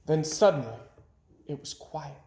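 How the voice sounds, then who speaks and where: A man's voice: fairly high pitch, animated, unhurried, dark tone. A man reading a children's story aloud with dramatic delivery, varying volume and tempo for suspense. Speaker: storybook reader. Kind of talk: animated children's read-aloud narration. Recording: roomy home recording.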